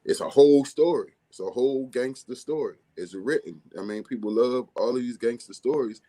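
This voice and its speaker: A man from with a deep, bass-heavy, mumbly voice, talking casually as a music artist guest in an interview. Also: fairly quick, mellow. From Baltimore, USA. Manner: mumbly, laid-back streetwise delivery.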